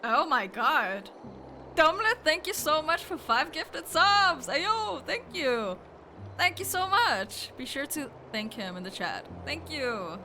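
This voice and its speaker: Animated high-voiced woman streamer. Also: takes it slowly, slight background noise. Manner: playful, exclamatory. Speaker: streamer reacting to a game. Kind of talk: casual live reaction commentary. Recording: loud game music behind voice. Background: game cutscene music.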